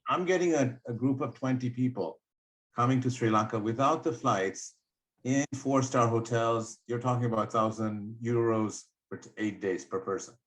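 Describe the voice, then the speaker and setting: A man's voice: medium pitch, moderate pace, a bit bright, low-quality recording. A man in his fifties talking casually on a group call, putting forward plans and questions. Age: 50s. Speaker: participant proposing travel plans on group call. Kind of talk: casual discussion.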